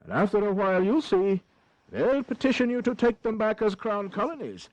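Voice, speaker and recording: A man's voice: high-pitched, animated, normal speed, a little mellow, no echo, low-quality recording. A man playing a weary, bitterly ironic in a history audio drama, with a theatrical, wry manner. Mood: persuasive. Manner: theatrical, wry, deferential to the king.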